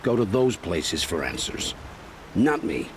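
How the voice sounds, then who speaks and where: An actor playing a world-weary, evasive scavenger scout in a video game cutscene, with a dismissive, guarded manner. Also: male voice, deep voice, sing-song, a little slow, slight background noise.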